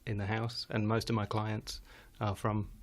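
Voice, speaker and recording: Guy with a lowish voice rambling on a live chat show, lots of restarts and little laughs. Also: completely flat delivery, normal speed. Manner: rambling, self-correcting, laughs often. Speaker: guest musician. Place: home studio in Denmark.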